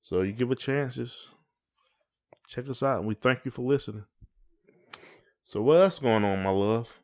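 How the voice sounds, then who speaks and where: A man's voice: mid-range voice, moderate pace, darkish tone. A man co-hosting a casual podcast, talking in a folksy, rambling way and repeating his phrases. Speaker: podcast co-host. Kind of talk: casual conversational podcast chat.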